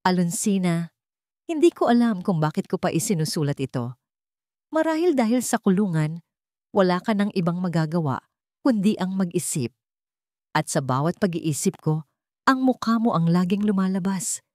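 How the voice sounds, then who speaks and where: A woman acts out a jailed mistress confessing in a letter in a dramatic audio story. She is remorseful and earnest, her voice a touch low. The recording is close and dry. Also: expressive, normal speed, long pauses, a little mellow, dry recording, lightly acted.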